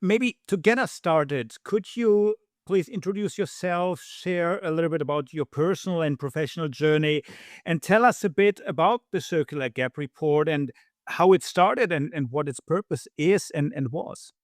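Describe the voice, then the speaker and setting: A man hosting a podcast, reading a prepared introduction and asking questions in a measured way. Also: fairly high pitch, dry recording, clean studio sound. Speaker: podcast host.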